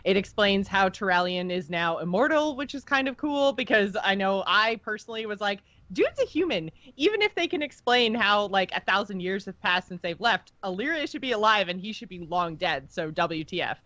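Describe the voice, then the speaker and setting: A woman's voice: medium pitch, lively intonation, moderate pace, hardly any pauses, fairly bright tone, no echo, highs cut off. A woman co-hosting a podcast, chatting in a casual, informal and teasing way about something she follows as a fan. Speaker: podcast co-host. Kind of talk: casual, unscripted fan discussion.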